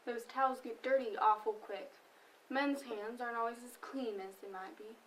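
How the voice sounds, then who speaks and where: Set back from the microphone with faint noise around it, this is a defensive farm wife played by a woman in a stage play. Her voice sits a bit high, and she is blunt, dry and folksy. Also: fairly even intonation, moderate pace, close to an everyday voice. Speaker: stage actor. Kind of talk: amateur stage play dialogue. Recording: distant microphone, noticeable room noise.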